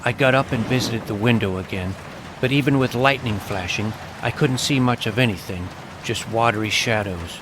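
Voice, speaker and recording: A man's voice: lowish voice, normal speed. A man giving scripted first-person storytelling narration as the narrator of a tale. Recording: clear background noise, sound effects.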